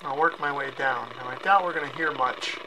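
A man giving a casual, low-key hands-on radio demonstration, musing aloud as he goes. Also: brisk. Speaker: radio reviewer. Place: concrete-walled cellar. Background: shortwave radio noise.

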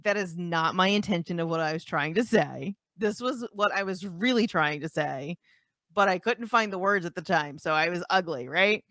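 A woman co-hosting a podcast who calls herself loud, explaining points in a relaxed, talky way. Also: on the low side, moderate pace, no room sound, clean studio sound. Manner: loud, explanatory, talks things through. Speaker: podcast co-host. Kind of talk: casual conversational chat.

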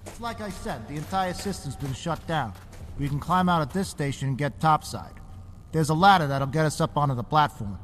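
Higher-pitched, plain-spoken working man. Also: animated, fairly quick, fairly bright tone, faint music behind. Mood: helpful. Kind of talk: audio drama acting.

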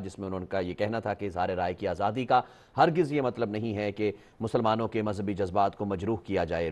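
A man's voice: on the low side, a little mellow. A male news reporter reading a formal voice-over report.

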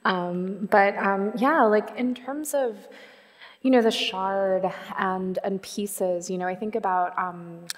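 A woman's voice: mid-range voice, takes it slowly. A young adult woman interviewing onstage, speaking tentatively with many fillers and repeated "like", through a headset mic. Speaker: art historian interviewer. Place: lecture hall stage, seated in armchair. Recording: long hall reverb.

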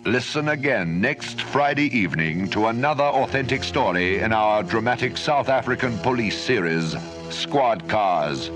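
A male radio series announcer with a medium-pitched voice reads dramatic scripted copy. Music plays clearly behind him. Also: animated, a little slow.

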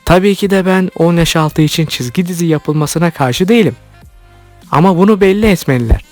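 Higher-pitched man narrating commentary over music. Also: fairly quick. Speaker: YouTube host commenting on cartoons. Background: background music.

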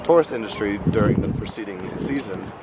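A man's voice: on the low side, fairly quick. An enthusiastic American man in his twenties chatting and rambling casually as a travel vlogger. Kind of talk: casual vlog chat. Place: walking around a resort, mostly outdoors. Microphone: handheld camera at arm's length. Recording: very noisy outdoor resort crowd and traffic.

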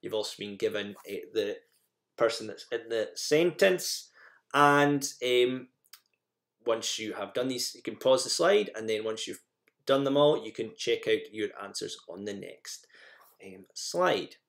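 A man's voice: fairly low pitch, expressive, measured pace, gravelly, a bit bright. A man presenting a language lesson in an informal, instructional manner, guiding learners through slides and examples.

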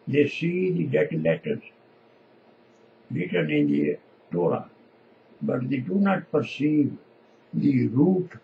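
Gravelly older Punjabi-accented man, preaching slowly. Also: medium pitch, dull audio, slight background noise. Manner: halting, short emphatic phrases. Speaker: lay preacher. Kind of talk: informal sermon to camera. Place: small indoor home office.

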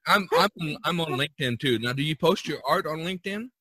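Male host, mid-range pitch, bright edgy tone, dry close sound, casual conversational delivery. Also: animated, fairly quick, slightly rough, no echo. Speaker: podcast host. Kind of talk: casual chat. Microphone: video call.

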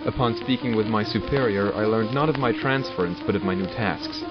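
Man playing audio drama doctor, lowish voice, measured log entries, formal, curious, drily wry, slightly muffled recording. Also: natural voice. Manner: measured, formal, dryly sardonic. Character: male doctor logging studies of supernatural captives, curious and wry. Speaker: voice actor. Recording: dull lo-fi recording with music behind. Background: music.

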